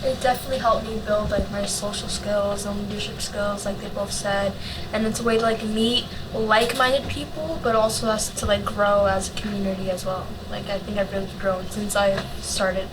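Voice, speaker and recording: Young woman, late teens, flat intonation, casual youthful talk, off-mic with background noise. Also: medium pitch, moderate pace, recorded from a distance. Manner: casual, frequent "like". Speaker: youth volunteer organizer.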